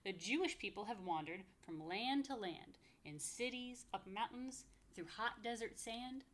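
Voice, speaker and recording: A woman's voice: fairly high pitch, lively intonation, unhurried, a bit bright. An American woman reading a picture book aloud to young children, with chatty asides and playful questions to her listeners. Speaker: rabbi, story time host for young children.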